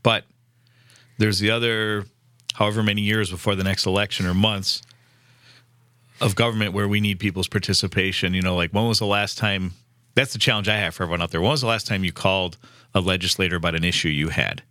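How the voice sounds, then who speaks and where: A man in his fifties talks earnestly in a casual conversation. His voice sits very low yet sounds bright and forceful, and the recording is close and dry. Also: fairly even intonation, brisk, dry recording. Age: 50s. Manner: earnest, conversational, with many restarts. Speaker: host.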